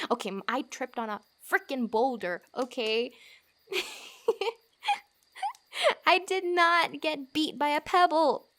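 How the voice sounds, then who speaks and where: A woman playing a friendly, teasing fantasy wanderer who gives comfort in a soft-spoken, giggly, rambling ASMR roleplay. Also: sits high, expressive, slow pace, long pauses, heavily acted. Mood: playful, teasing.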